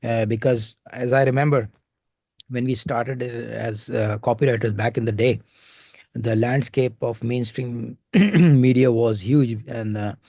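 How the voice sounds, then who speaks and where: A man with an Indian accent hosting a recorded interview over a remote call, talking in halting stretches full of filler sounds. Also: mid-range voice, fairly even intonation, mellow, no echo. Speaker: host.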